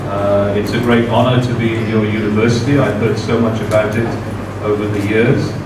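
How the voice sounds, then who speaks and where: A man's voice: lowish voice, flat delivery. A man giving a formal talk as guest speaker at a university seminar, speaking carefully with many "uh" fillers. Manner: measured, careful delivery with frequent "uh" fillers. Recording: very echoey room, distant mic, background noise.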